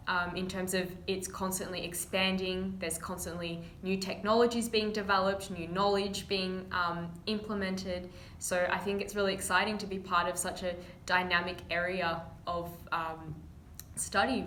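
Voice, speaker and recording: A woman's voice: on the low side, pushed and bright, echoey room, slight background noise. A young woman, a medical student, giving an earnest practice interview answer with frequent fillers.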